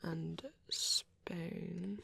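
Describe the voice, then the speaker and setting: A man playing a shy, soft-spoken vampire boy in an intimate ASMR roleplay, rambling hesitantly and bashfully close to the microphone, often near a whisper. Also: high voice, level tone, extremely slow, gravelly, very noisy, full character voice. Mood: softly enthusiastic. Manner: hesitant, bashful, softly nostalgic, often trailing off.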